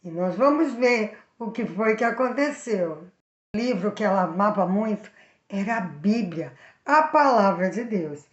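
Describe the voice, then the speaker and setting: A woman's voice: fairly high pitch, reverberant. Brazilian woman telling a children's story. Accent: Brazilian Portuguese. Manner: animated, addresses the young viewers directly.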